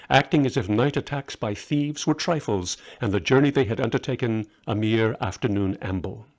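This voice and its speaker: A man narrates a short story as its author, reading from a prepared text. His voice sits at an ordinary middle pitch for a man.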